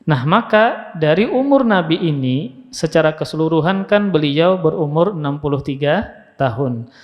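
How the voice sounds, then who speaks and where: A man's voice: fairly high pitch, expressive, moderate pace. A man giving a didactic religious lecture as an Islamic teacher, repeating key phrases for emphasis and quoting Arabic. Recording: muffled, long hall reverb.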